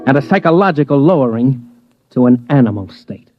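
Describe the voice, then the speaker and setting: An actor playing a brash, wry news reporter in old-time radio drama, casual and hard-boiled. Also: male voice, mid-range voice, expressive, a little fast, music in the background, natural voice. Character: brash, cocksure male news reporter narrating his exploits. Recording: muffled old radio recording.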